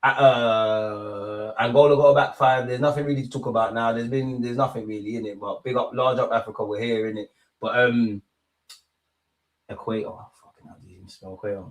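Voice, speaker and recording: A young man with a London accent hosting a football watch-along, chatting casually and excitably in slang with his viewers. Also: mid-range voice, fairly quick, poor audio. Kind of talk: casual live reaction commentary and chat with viewers.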